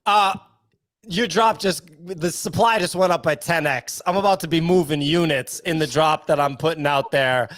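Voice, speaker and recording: A man hosting a live podcast with lively banter and an emphatic radio-announcer delivery. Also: fairly high pitch, normal speed, dry recording. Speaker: podcast host.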